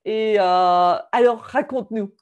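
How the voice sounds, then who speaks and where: A woman's voice: medium pitch, measured pace, darkish tone, clean tone. A woman hosting a semi-formal interview, speaking in an effusive, appreciative way. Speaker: interview host and constellation trainer.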